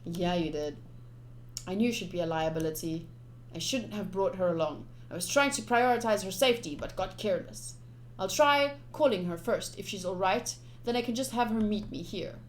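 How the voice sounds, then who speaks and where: A woman streamer playfully reading visual novel text aloud, with casual asides in an expressive delivery. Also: medium pitch, normal speed, slight background noise. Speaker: game streamer. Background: game music.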